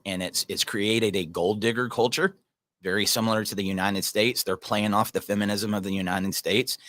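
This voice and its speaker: A man hosts a casual livestream and talks in an earnest, rambling way, calling out his viewers by name. His voice sits a little low. The sound is dry and close, with no room echo. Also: a bit bright. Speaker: livestream host reading viewer comments.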